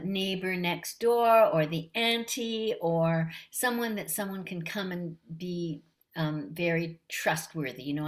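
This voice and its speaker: A woman with a light voice, talking warmly and unhurriedly as a guest in a casual interview. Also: fairly low pitch. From Canada. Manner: warm, friendly, unhurried. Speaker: voice actress guest.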